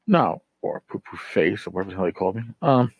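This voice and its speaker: A man's voice: deep voice, expressive, quick pace, creaky, husky, no room sound. A middle-aged man talks as a podcast host in a loose, rambling monologue. He is blunt and exasperated, swearing freely as he vents. The sound is dry, with very little room in it. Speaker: tabletop gaming podcast host. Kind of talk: casual ranting monologue.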